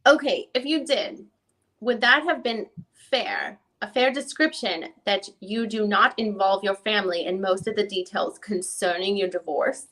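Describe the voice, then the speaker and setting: A woman reading the part of a lawyer who questions a witness in a formal deposition, with halting, probing questions. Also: medium pitch, a bit bright, rough recording. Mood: questioning. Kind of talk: dramatic reading of a deposition transcript.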